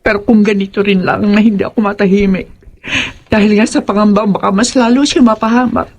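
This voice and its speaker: A woman's voice: mid-range voice, fairly even intonation, brisk, dull audio, natural voice. An actress playing a troubled, fearful mother in a horror audio drama, earnest and emotional. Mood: anguished, resigned. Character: anguished, fearful mother of a fugitive son.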